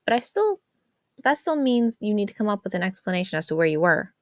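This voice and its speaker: A woman's voice: mid-range voice, big pitch swings, fast talker, no echo, clean studio sound, voice pushed up, close to an everyday voice. A woman voicing a worried, exasperated teenage older sister in a story, chiding and emphatic. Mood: puzzled, insistent.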